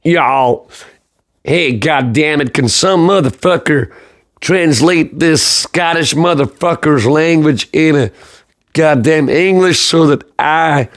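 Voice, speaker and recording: A Scottish man putting on a crude, angry, uneducated Texan pig farmer with a slow, swearing drawl. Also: fairly high pitch, no room sound, clean studio sound. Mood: angry, coarse.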